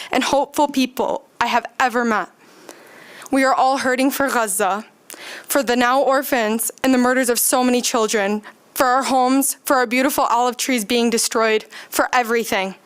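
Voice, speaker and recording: A woman speaks at public comment in a clear, resonant voice pitched a bit high. She reads a prepared, emotional statement. Her delivery is solemn and pleading. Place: city commission meeting room.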